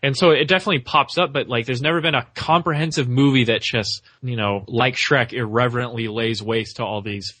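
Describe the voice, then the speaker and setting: A man with a bright, forward voice in a dry, close recording. He talks casually as a podcast co-host, offering opinions and hedging as he thinks them through. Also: mid-range voice, steady tone, a little fast, hardly any pauses, dry recording. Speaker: podcast co-host reviewing animated films. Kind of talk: casual film discussion.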